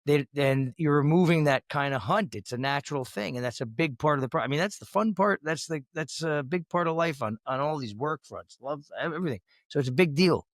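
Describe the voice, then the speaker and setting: A man's voice: fairly high pitch, brisk, hardly any pauses, no room sound. Rambling man with a dry, close sound. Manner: rambling, restarts and repeats words often. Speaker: podcast co-host. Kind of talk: casual chat.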